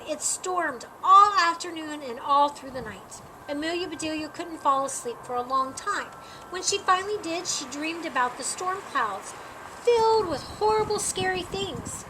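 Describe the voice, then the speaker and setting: A woman reading a children's picture book aloud in an animated storytime manner, acting out dialogue and sound words. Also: high-pitched. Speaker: storytime reader. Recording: clearly noisy background.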